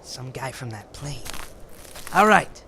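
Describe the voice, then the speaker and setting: An actor playing a jumpy, blustering, slangy tough crook in an old-time radio drama. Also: male voice, medium pitch, big pitch swings, slow pace, sharp tone, full character voice. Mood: jumpy defiant. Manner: slangy, blustering, stammering when rattled. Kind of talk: 1940s radio drama acting. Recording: clear background noise.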